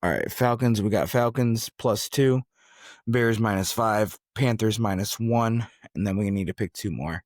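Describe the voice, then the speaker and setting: A man's voice: fairly low pitch, unhurried, no echo, studio quality. A man hosting a podcast, chatting casually about sports in a slangy, bro-ish way. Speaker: podcast host.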